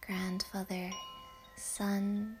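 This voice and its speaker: A woman whispering a guided yoga nidra meditation in a hushed, soothing way. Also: medium pitch, little pitch movement, very slow, soft background music.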